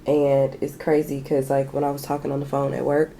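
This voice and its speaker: A young woman with a raspy, hoarse voice, chatting candidly and swearing casually as she does her makeup at home. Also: completely flat delivery, brisk, dark tone, slight background noise, rough recording. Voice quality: raspy, deep voice. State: hoarse from sinus trouble. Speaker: beauty vlogger. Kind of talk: casual chat while doing makeup. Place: small bedroom with shelves and a lamp.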